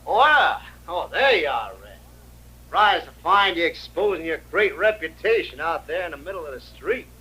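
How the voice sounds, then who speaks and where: An actor playing a sneering, taunting saloon owner, a villain in an old radio western, mocking and theatrical. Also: male voice, on the high side, big pitch swings, moderate pace, bright, forceful tone. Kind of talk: 1950s radio western acting. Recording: noisy, humming old radio recording.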